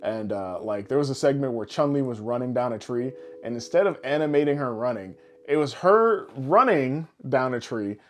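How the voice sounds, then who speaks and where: A man's voice: medium pitch, animated, normal speed, hardly any pauses. A man talking casually as a podcast host, opinionated and swearing freely. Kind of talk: casual pop-culture chat. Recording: background music under voice.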